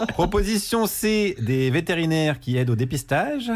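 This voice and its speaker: A man's voice: high-pitched, sing-song, a little slow. A man on a radio show, chuckling and easygoing as he presents joke quiz answers. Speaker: radio comedian. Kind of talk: comic radio banter.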